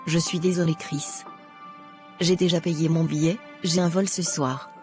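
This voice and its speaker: Woman acting a mellow, lowish-voiced discontented young woman. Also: fairly even intonation, a little slow, soft background music. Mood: regretful. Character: discontented young rich girl, regretful but resolute.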